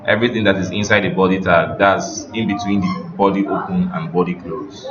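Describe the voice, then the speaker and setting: A man giving an informal, step-by-step software tutorial as an instructor, repeating phrases as he explains. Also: low-pitched, steady tone, fairly quick, a crowd in the background. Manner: repetitive step-by-step explaining, checking understanding. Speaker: web development instructor. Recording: roomy, dull audio with music behind.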